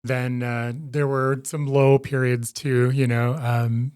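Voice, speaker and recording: A middle-aged man speaks at an average pitch, recorded dry and close with little room sound. He talks haltingly, filling gaps with ums and restarting phrases. He often answers with a quick yes or yep. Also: flat delivery, darkish tone, clean tone, no room sound. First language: English. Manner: hesitant, frequent ums and restarts, affirming. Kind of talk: conversational interview storytelling.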